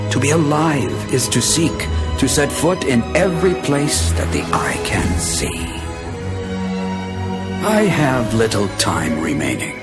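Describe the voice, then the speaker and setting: An actor playing an aged, wistful but resolute adventurer near death in a solemn, poetic video game monologue. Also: male voice, fairly low pitch. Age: older. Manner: solemn, measured, poetic delivery. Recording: loud music underneath. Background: music.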